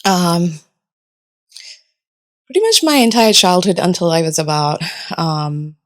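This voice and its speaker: A woman's voice: fairly low pitch, takes it slowly. A woman in her thirties from Sri Lanka whose first language is Japanese, chatting as a podcast guest and laughing often. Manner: laughs often, relaxed storytelling. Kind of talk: casual podcast chat.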